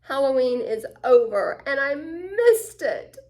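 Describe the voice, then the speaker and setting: Woman as a high, indignant little storybook girl. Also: sing-song, slow, exaggerated voice. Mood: furious. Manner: loud, complaining, exasperated.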